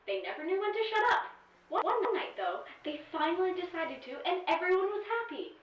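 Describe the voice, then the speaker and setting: A woman's voice: very high-pitched, very animated, a little fast. A woman voicing a snippy, teasing artificial intelligence companion in an audio drama, with sighs and dramatic emphasis. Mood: exasperated. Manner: sassy, sighing, dramatic emphasis. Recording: echoey, distant, dull-sounding voice.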